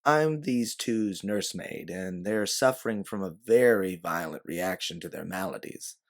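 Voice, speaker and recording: A man voicing a quick-witted, bossy woman posing as a fussy, exaggeratedly polite nursemaid with a Southern US accent, in a story. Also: sits low. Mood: sweetly deceitful.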